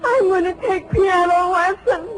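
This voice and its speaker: An actress playing a devoted, flustered American secretary with a gushing, fluttery manner in 1940s radio style. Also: female voice, extremely high pitch, measured pace, over loud music, rough recording. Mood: flustered, jealous. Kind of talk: 1940s radio comedy-drama acting. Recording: old radio broadcast, faint noise.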